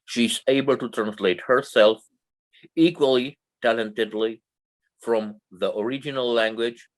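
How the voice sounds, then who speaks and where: A man's voice: mid-range voice, steady tone, unhurried. A man with a Romanian accent, a non-native English speaker, formally introducing a poet as co-host, with many fillers and restarts.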